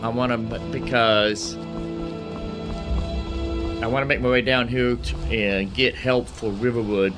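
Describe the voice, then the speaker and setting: A man giving casual video game commentary as host of a roleplay playthrough, rambling and thinking out loud, often repeating his phrases. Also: medium pitch, fairly even intonation, unhurried, pauses often, music in the background.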